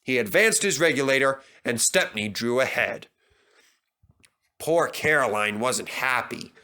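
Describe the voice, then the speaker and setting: Animated male children's storyteller, bright voice. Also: mid-range voice, measured pace. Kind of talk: scripted children's story narration.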